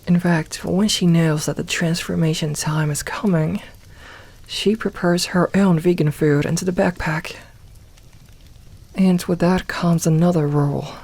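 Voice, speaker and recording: Soft-spoken, comforting werewolf woman, voiced by an actress. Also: on the low side. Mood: warm, welcoming. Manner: soft-spoken, reassuring, unhurried explaining with light humour. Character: gentle, comforting young female werewolf, playful self-styled lone wolf. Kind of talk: soft ASMR roleplay, speaking intimately to one listener.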